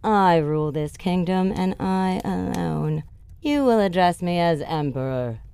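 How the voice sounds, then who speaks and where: A woman's voice: fairly low pitch, expressive, unhurried, gravelly, dark tone, smooth, no echo. A woman playing a vain, haughty villainess emperor with a pompous, commanding manner in a fantasy comedy audio drama. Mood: indignant, grandiose.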